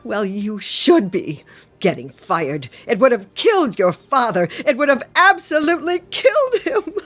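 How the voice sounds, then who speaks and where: A woman's voice: on the high side, huge pitch swings, a little slow, fairly bright tone, exaggerated voice. An actress playing an anxious, smothering older widowed mother in a radio drama, fretful, tearful and nagging, with self-pity. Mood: needling.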